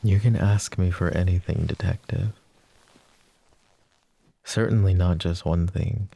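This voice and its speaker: A man voicing a lovesick, possessive young mafia boss in an ASMR roleplay, speaking softly and intimately, often close to a whisper. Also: sits low, fairly even intonation, measured pace, vocal fry, breathy edge, no room sound, heavily acted. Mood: tender, yearning. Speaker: voice actor.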